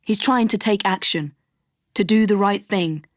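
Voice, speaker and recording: A woman's voice: medium pitch, unhurried, no room sound, clean studio sound, lightly acted. An actress playing a composed young Victorian woman assassin with an English accent in a video game, wry, proper and determined. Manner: crisp, proper, understated.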